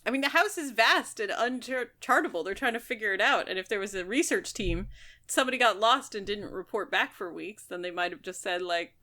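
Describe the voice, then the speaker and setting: A woman podcast co-host talks in an informal, speculative way. She is blunt and conversational, working through ideas aloud at a medium pitch. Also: brisk, bright, forceful tone. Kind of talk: casual book discussion.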